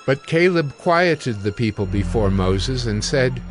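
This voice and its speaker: Male narrator, scripted audio Bible, solemn measured storytelling, medium pitch, soft music bed. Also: animated.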